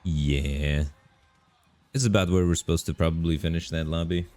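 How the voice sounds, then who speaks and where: A man streaming a game live, talking in a relaxed, rambling way with lots of fillers, his voice bassy on a studio microphone at his home setup. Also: moderate pace, soft background music. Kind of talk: casual live-stream commentary. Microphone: studio microphone through an audio interface.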